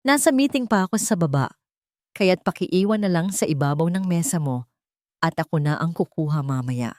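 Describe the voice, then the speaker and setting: A woman voicing a calm, polite, reassuring young male company boss in a narrated story. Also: on the low side, normal speed, no room sound, clean studio sound, lightly acted. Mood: calm, casual.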